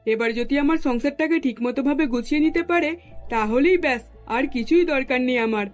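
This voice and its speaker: A woman's voice: high voice, fairly quick, soft background music, close to an everyday voice. A woman voicing a welcoming middle-aged mother-in-law in a cartoon, chatty and polite. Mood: pleased.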